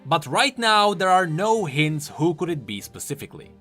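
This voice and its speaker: A man's voice: a little high, very animated, moderate pace, bright, forceful tone, faint music behind. A man presenting explanatory video commentary on game lore, informal and emphatic in his delivery. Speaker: YouTube game lore host.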